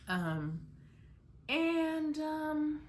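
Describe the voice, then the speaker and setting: A woman's voice: sits high, big pitch swings, drawn-out words. A young American woman vlogging from her apartment while packing to move, rambling casually with lots of "like" fillers and restarts. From United States. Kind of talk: casual rambling chat to camera.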